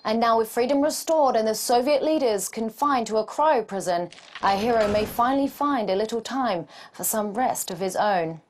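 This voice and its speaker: A woman giving measured, reflective scripted narration for a game ending. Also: on the high side, a little hiss or hum, lightly acted.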